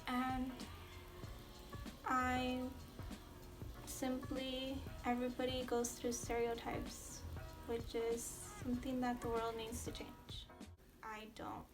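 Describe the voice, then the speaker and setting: Brief: sincere teen girl, higher voice, little pitch movement. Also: monotone, takes it slowly, pauses often. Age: teens. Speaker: student. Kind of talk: speaking to camera for a school video. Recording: music playing under the voice.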